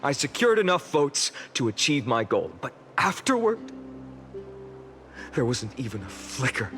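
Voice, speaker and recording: A woman playing a solemn, resolute leader in a video game, giving a measured, earnest speech to a grieving city. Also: a bass voice, big pitch swings, fairly bright tone, full character voice. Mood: solemn, reflective. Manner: measured, earnest, deliberate rhetorical delivery. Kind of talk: dramatic oratory, video game voice acting. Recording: orchestral music behind the voice.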